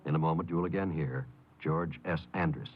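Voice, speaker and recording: A man announcing in formal 1950s radio style, solemn and measured. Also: very deep voice, husky. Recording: tinny old 1950s radio broadcast.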